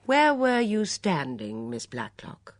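A woman voicing a quiet, deliberate male police inspector questioning witnesses in an audiobook. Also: medium pitch, wildly swooping pitch, no room sound, clean studio sound, pitched above the performer's own voice. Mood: measured, quiet. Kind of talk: audiobook character dialogue.

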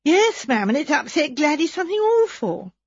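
A woman's voice: sits high, big pitch swings, normal speed, darkish tone, dry recording, highs cut off, pitched above the performer's own voice. A woman voicing an anxious young housemaid who rambles and pleads breathlessly.

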